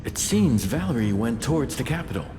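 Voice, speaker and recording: A man's voice: medium pitch, sing-song, a little fast, darkish tone, long reverb tail, a little hiss or hum, close to an everyday voice. An actor voicing a dry, pragmatic male companion in a fantasy video game. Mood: dry, musing.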